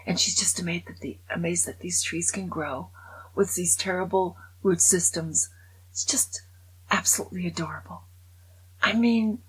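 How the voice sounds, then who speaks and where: Woman in her seventies, low raspy voice, gravelly, casual rambling vlog chat. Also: low-quality recording. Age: 70s. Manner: chatty, rambling, self-mocking humor. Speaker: vlogger. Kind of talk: casual monologue to camera.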